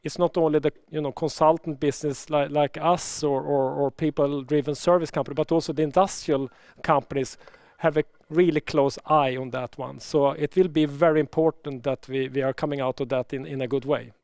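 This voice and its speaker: A man speaking as a company executive, answering analysts in a measured, halting way and often repeating words. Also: fairly high pitch, fairly even intonation, a little mellow, dry recording, clean studio sound. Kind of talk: earnings call answers.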